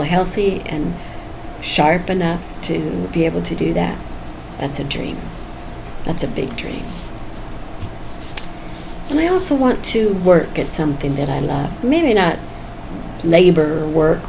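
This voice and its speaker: An older woman, around seventy, speaks slowly in a low, gravelly voice. She muses candidly and casually, as in a personal vlog, with clear background noise behind her. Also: animated. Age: almost 70. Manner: candid, musing, chuckles often. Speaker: vlogger. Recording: noisy background ambience.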